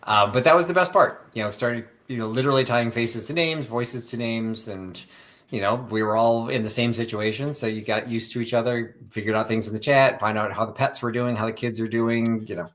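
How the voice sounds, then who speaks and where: A man from the Chicago suburbs with a Midwestern accent, co-hosting a live sale stream in relaxed, chatty talk. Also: mid-range voice, fairly quick. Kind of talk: casual live-stream chat.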